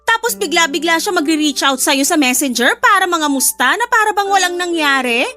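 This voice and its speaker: A woman's voice: very high voice, animated, fairly quick, pushed and bright, faint music behind, exaggerated voice. A woman playing a blunt, protective best friend with a sassy, scolding manner in an audio drama. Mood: indignant.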